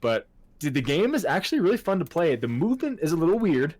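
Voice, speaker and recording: A man's voice: fairly high pitch, sing-song, fast, a little mellow, no room sound. A man in his twenties co-hosting a podcast in casual chat, loose and profane, laughing often. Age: 20s. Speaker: podcast co-host.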